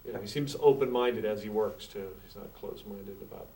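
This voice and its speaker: A man talking in a relaxed, loose way in a casual interview. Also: fairly low pitch, a little fast. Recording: distant mic with noise.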